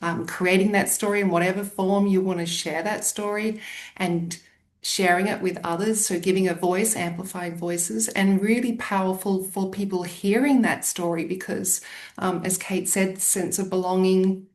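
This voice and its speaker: A woman's voice: mid-range voice, fairly even intonation, moderate pace, a little mellow. Upbeat Australian woman on a video call. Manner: upbeat, earnest explaining. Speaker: storytelling coach.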